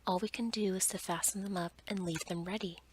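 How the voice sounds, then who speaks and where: A woman voicing a gentle, curious young fairy-tale heroine in a soft, breathy ASMR whisper. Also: medium pitch, steady tone, brisk, slight background noise, natural voice. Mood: earnest, wondering. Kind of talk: whispered ASMR storybook reading.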